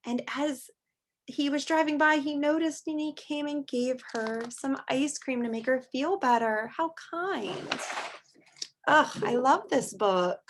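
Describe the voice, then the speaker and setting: Female teacher, slightly high voice, expressive read-aloud for kids with plain-worded asides, noisy amateur recording. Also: slow pace. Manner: expressive, speaking simply to young children. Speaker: teacher reading aloud to children. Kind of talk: picture-book read-aloud with chatty asides.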